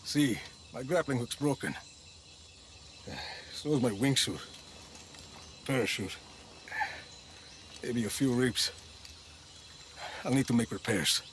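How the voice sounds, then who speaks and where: A man's voice: mid-range voice, takes it slowly, pauses often, a bit bright, a little hiss or hum. An actor playing a tough male action hero in a video game cutscene, speaking in dry, clipped lines with a wry edge. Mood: weary.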